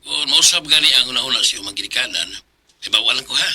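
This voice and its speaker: An actor playing a kindly, avuncular village pastor in a Bisaya radio drama, with a husky voice and a Cebuano Filipino accent. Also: male voice, mid-range voice, animated, quick pace, pushed and bright, slight background noise. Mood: advising.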